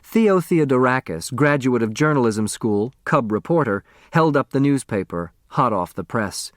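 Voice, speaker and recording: Male audiobook narrator, mid-pitched, expressive storybook read, very dry sound. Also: moderate pace, no room sound.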